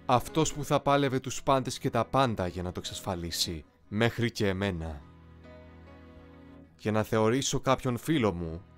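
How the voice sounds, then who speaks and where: A man playing a young, eloquent, coolly ambitious soldier in an anime fan dub, speaking in a formal, measured, philosophical way. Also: lowish voice, lively intonation, natural voice. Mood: cool, resolute. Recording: music behind the voice.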